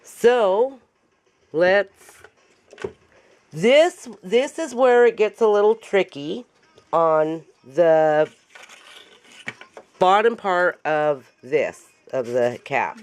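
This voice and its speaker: Chatty raspy-voiced woman crafter. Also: on the low side, lively intonation, drawn-out words, pauses often, darkish tone. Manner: chatty, joking, laughs often. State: recovering from illness. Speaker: craft tutorial host. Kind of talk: casual chat while demonstrating a craft. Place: home craft room.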